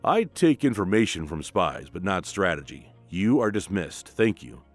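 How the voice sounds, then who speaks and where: A man voicing a proud, distrustful young king in a clipped, measured manner, for a comic-book retelling. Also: low-pitched, sing-song, faint music behind, pitched below the performer's own voice, full character voice. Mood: stern.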